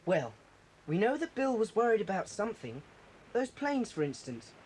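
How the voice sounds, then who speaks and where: An actor playing a brave, steady schoolboy who takes charge, in a children's audio drama. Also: mid-range voice, moderate pace, lightly acted, low-quality recording. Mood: grim, steady. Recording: slightly hissy 1980s cassette tape.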